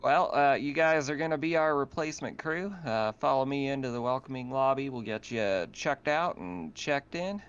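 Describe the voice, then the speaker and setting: Man playing a folksy, weary colony administrator, smooth-voiced. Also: mid-range voice, animated, moderate pace, few pauses, fairly bright tone, muffled recording, close to an everyday voice. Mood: folksy welcome.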